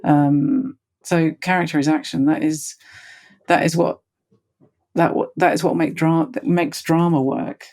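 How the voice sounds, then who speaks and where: A woman's voice: low voice, fairly even intonation, unhurried, darkish tone. A woman in an explanatory discussion, thoughtful and a little didactic as she lays out her points. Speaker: theater discussion panelist.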